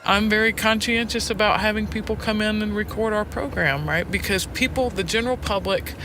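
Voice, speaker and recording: A woman's voice: medium pitch, a little fast. A woman speaking candidly and earnestly in conversation, restating her points for emphasis, recorded inside a moving car. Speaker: prison arts program director and teacher. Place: in a car on the road. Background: car and road noise.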